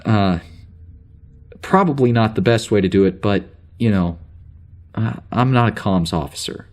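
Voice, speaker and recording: A man playing a flustered security officer on a spaceship, recording an informal audio log in a halting, rambling way for an audio drama. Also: on the low side, lively intonation, a little mellow. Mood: exasperated. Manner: halting, rambling, full of hesitations and sighs.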